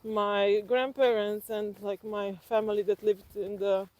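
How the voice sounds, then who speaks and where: A woman from Slovenia with a Slovenian accent, telling personal stories reflectively in conversation and haltingly thinking aloud as an interview guest. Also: mid-range voice, little pitch movement, darkish tone, rough recording.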